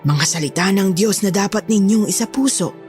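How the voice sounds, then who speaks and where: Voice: mid-range voice, brisk, close to an everyday voice. Someone acting a pious cult healer in a horror audio story, grand and preachy, music behind. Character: self-proclaimed healer cult leader, solemn and commanding.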